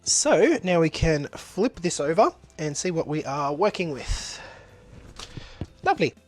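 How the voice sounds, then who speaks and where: A man's voice: fairly high pitch, very animated, unhurried, soft background music. A man with a blocked-up nose, narrating a casual craft tutorial as he works, chatty and easygoing, with plenty of ums and uhs. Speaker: resin artist demonstrating a project.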